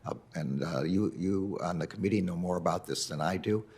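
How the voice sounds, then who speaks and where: A man testifying as a nominee over a video call, answering in careful, halting, measured sentences. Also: sits low, a little mellow, slightly rough. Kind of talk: formal hearing testimony.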